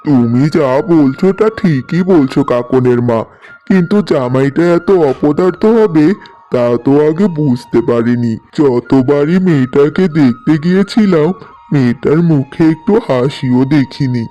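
A man's voice: mid-range voice, unhurried, dark tone, lightly acted. A man voicing an earnest, protective middle-aged village father in a cartoon story. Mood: concerned. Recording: music bed under the voice.

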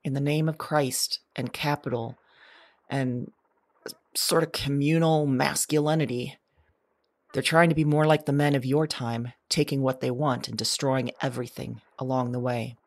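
A woman's voice: very deep voice, steady tone, normal speed, dry recording. A woman playing an anxious, searching modern woman in an audio drama, with a hesitant, confessional delivery. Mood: scared, despairing. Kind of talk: audio drama monologue acting.

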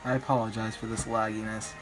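A slightly raspy young man, a bit sick, commentating his online game battle in a rambling, hesitant way with many ums. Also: lowish voice, flat delivery, quick pace, rough recording. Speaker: gaming YouTuber. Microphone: phone filming a screen. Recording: music playing behind.

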